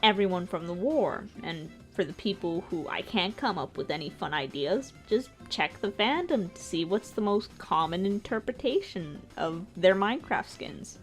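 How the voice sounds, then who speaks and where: A woman's voice: fairly low pitch, expressive, normal speed, few pauses. A woman narrating her own art video as a casual commentary, informal and lightly self-deprecating, with a joking touch. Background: music.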